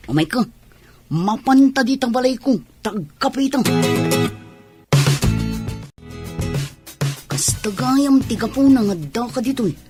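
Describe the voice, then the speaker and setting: A woman's voice: a little high, animated, measured pace, over music. An actress with an Ilocano accent playing an outgoing, inviting village landlady in a radio drama. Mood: friendly, inviting.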